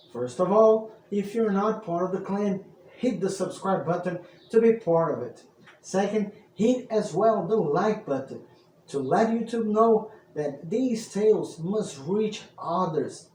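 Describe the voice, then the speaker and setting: Man, theatrical storyteller, slightly high voice, unhurried, distant roomy mic. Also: mellow, a little hiss or hum. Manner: theatrical, grandiose greetings and sign-off. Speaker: storyteller, channel host. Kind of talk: scripted story narration in mock-archaic storyteller style.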